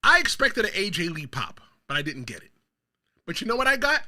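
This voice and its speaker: A man's voice: sits high, huge pitch swings, fairly quick, pushed and bright. A man from the Bronx hosting his own wrestling commentary show, talking straight to viewers and delivering emphatic hot takes. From the Bronx, New York. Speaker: show host.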